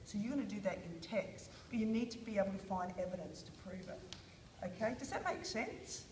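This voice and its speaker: A woman's voice: medium pitch, animated, fairly quick, reverberant, a little hiss or hum. A woman with an Australian accent, teaching a small group of children a reading lesson, prompting them with questions and encouragement. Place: classroom.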